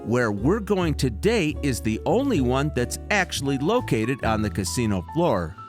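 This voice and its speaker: A man's voice: medium pitch, very animated, normal speed. A man giving scripted, polished voice-over narration in an upbeat manner. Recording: voice-over with guitar music behind.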